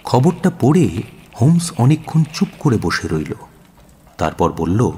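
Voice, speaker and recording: An actor playing a detective's earnest companion, narrating a radio drama in a measured, expressive, descriptive way. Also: male voice, medium pitch, slight background noise. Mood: puzzled, earnest. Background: music.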